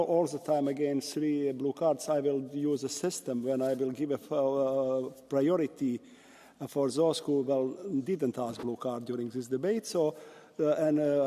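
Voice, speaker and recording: Man chairing a debate, mellow tone, fumbling with fillers, long hall reverb. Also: a little high. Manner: halting, many fillers and restarts. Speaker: session chair.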